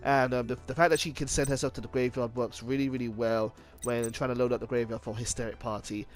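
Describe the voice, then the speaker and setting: A man's voice: mid-range voice, level tone. A man hosting an unscripted card game video, explaining things informally and often correcting himself as he goes. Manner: halting, self-correcting, informal. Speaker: video game deck profile host. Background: music.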